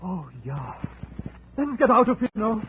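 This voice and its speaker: Cornered impostor, high pitch, stiff formal wording, anxious, 1950s radio thriller, noisy old recording. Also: male voice, big pitch swings, moderate pace, narrow, tinny audio. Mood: anxious, pleading. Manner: stiff, formal foreign phrasing. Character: shifty Dutch male impostor and killer, cornered and anxious. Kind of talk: 1950s radio drama acting.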